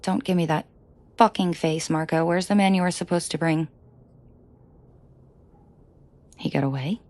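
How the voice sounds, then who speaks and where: A woman playing a commanding, protective mafia boss in an intimate roleplay audio drama, shifting between cold authority and soft coaxing. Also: sits low, a little fast. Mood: furious, scornful. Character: commanding female mafia boss, furious yet protective and tender.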